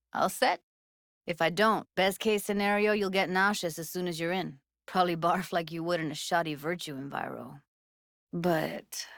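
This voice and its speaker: A woman's voice: on the low side, creaky, fairly bright tone, no room sound, studio quality. An actress playing a tough, candid young woman technician in a video game, talking in a casual, slangy, blunt way. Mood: businesslike, casual. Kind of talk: naturalistic game voice acting.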